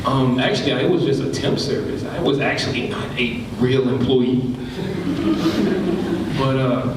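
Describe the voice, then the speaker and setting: A young Southern American man, an ER tech and nursing student, tells his story on a panel in a loud, friendly voice. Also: medium pitch. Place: large conference hall. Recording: echoey hall, mic far off, noise.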